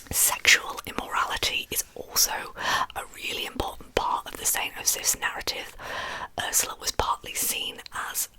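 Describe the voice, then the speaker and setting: A woman telling true crime as ASMR in a breathy whisper, with informal, chatty asides. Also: bright, forceful tone, poor audio.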